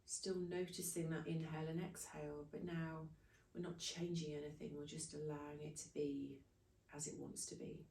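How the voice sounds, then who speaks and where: Low, mellow woman guiding meditation, echoey and distant. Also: level tone, creaky, a little hiss or hum. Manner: soothing, unhurried instruction in short phrases. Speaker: meditation and breathwork teacher. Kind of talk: guided breathing meditation. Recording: echoey room, distant from microphone.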